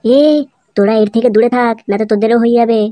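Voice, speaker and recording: A man voicing a grumpy, excitable tea-stall owner in a comedy cartoon, with slangy speech and a pitched-up effect. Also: fast talker, background noise. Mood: suspicious. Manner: scolding, wailing, slangy.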